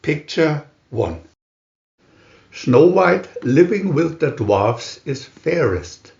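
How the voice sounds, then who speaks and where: A man reading a scripted storybook aloud in a deliberate, measured manner with pauses between captions. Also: on the high side, sing-song, vocal fry, a little mellow. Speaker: storybook reader. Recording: roomy, dull lo-fi sound.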